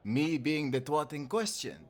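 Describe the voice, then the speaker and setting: A smug Spanish-accented charmer sliding onto the next bar stool in an audio drama, acted by a man, formal, flirtatious and condescending, his pitch gliding up and down. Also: very animated, a little slow. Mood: smooth, flirtatious. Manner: formal, smooth-talking, condescending. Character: suave, smug Spanish gentleman, flirtatious wannabe warlock. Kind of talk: audio roleplay acting.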